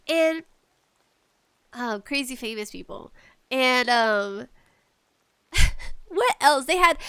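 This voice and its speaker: Female podcast host, high-pitched and bright; casual, opinionated gaming rants, animated and giggly. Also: no room sound. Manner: animated ranting with frequent giggles.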